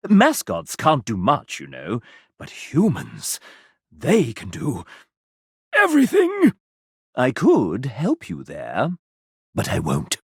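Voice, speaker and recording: A man's voice: mid-range voice, huge pitch swings, slow, pauses often, slightly rough, dry recording, studio quality, full character voice. A man voicing a sassy, randy, flamboyant video game mascot with a theatrical, cheeky, flirtatious delivery. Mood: sly, flirtatious. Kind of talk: video game character voice acting.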